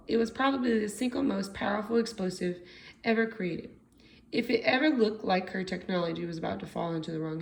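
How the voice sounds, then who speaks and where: A woman's voice: medium pitch, gravelly, low-quality recording. A woman with an American accent reading a storybook aloud expressively, as a teacher does for young children. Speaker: second grade teacher.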